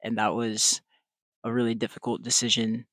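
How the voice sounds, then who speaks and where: A man answering jury questions as a game finalist, slow and halting with many ums. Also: medium pitch, completely flat delivery, fairly bright tone, no room sound.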